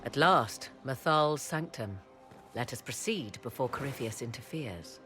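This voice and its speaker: A proud, sharp-tongued witch whose low voice rises and falls with wide swings. She speaks in formal, archaic phrasing, as if everyone else is a step behind. Soft game music hums under her. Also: animated, unhurried, exaggerated voice. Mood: cool. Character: aloof, sharp-tongued female witch and mage. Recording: game cutscene with faint score.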